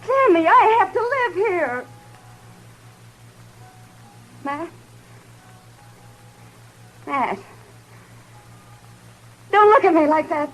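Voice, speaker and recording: An actress playing a distressed young widow pleading urgently in a 1950s radio drama. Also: female voice, extremely high pitch, animated, takes it slowly, pauses often, soft background music, exaggerated voice, poor audio.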